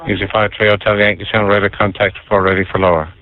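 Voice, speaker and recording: A man with a fairly low voice works as an air traffic controller. He gives clipped instructions, heard through a narrow, tinny radio channel. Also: level tone, fast.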